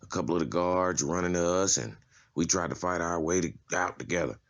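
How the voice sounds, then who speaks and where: Tough male convict for a comic drama, played by a male actor: extremely low voice, slangy, foul-mouthed, laid-back phrasing. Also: fairly quick. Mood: wry, matter-of-fact. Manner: casual, slangy, profane. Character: tough, streetwise adult male prison inmate giving a tour. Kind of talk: comic-book dramatization, character acting.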